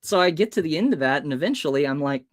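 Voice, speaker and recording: A man's voice: on the high side, animated, fast talker, dry recording, studio quality. A man in his thirties from the United States, a hypnotist guest telling stories in an interview and acting out the people in them. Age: 30s. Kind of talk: storytelling in an interview.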